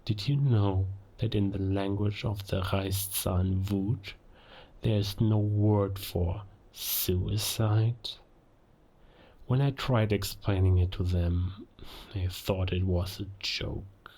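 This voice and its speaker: A gravelly, low-pitched male werewolf played by a man holds forth in a cinematic audio drama. He is cold and scornful of humankind, delivering his villain's monologue at an unhurried pace. Dramatic pauses make each threat deliberate and theatrical. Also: steady tone, mellow. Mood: bitter contempt.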